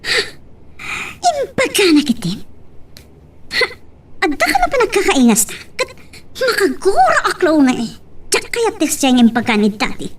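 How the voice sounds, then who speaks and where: Spoiled rich girl acted by a woman, super high voice, swoops all over, whiny radio drama, mic far off. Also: wildly swooping pitch, normal speed, long pauses, a little hiss or hum, full character voice. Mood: jealous, whiny. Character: spoiled, pushy rich businessman's young daughter. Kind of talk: Ilocano radio-style drama acting.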